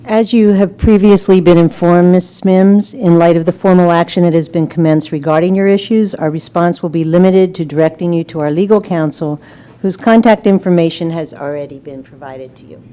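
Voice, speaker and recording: Board official: woman, clean mellow voice, slightly low, reading formally and evenly. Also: smooth. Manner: formal, measured. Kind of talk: formal scripted statement read aloud. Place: public meeting room.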